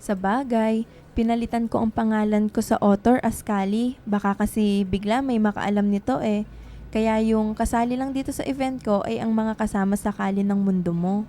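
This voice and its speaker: A woman's voice: mid-range voice, fairly even intonation, moderate pace, mellow, slight background noise, close to an everyday voice. A woman playing a secretive, melancholy young student writer in radio drama dialogue. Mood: grateful, cautious.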